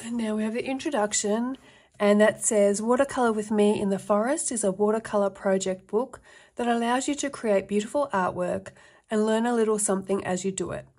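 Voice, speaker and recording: A woman talks through an art workbook as she turns its pages, reading the printed text aloud in an even way and then adding her own tips. Her delivery is relaxed and conversational, with the odd um along the way. Her voice sits at a middle pitch. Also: fairly quick. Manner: reads passages aloud, relaxed with fillers. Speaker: art book reviewer. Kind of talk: casual flip-through narration.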